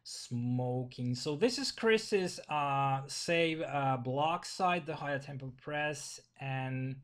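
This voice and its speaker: Young Finnish-accented male vlogger, non-native English, halting delivery full of fillers, bedroom desk webcam. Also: mid-range voice. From Finland. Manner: halting, repeats words, frequent fillers. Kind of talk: casual video commentary. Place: small bedroom.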